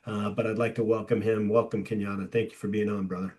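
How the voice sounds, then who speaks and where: A man's voice: fairly low pitch, flat delivery, fast talker, a little mellow. A man in his fifties hosting a podcast, asking questions in a friendly, conversational way. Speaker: podcast host. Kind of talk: casual interview chat.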